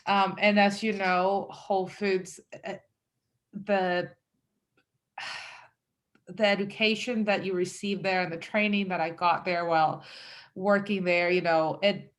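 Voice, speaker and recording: A woman's voice: mid-range voice, fairly even intonation, slow pace, long pauses. A woman in her thirties from El Salvador, a guest talking casually about her business with lots of fillers. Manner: chatty, with frequent fillers and self-quotes. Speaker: guest entrepreneur. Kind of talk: casual conversational interview.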